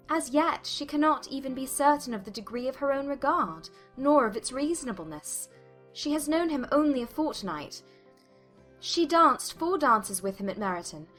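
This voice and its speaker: Woman doing a lively young regency lady, bright voice, quick to object, formal wording, audiobook drama. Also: on the high side. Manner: earnest, formal period diction.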